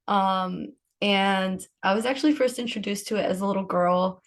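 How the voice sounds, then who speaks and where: A woman's voice: mid-range voice, moderate pace. Casual, chatty woman from Palestine. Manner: informal, frequent laughter. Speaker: podcast guest, embroiderer. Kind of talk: casual conversational storytelling.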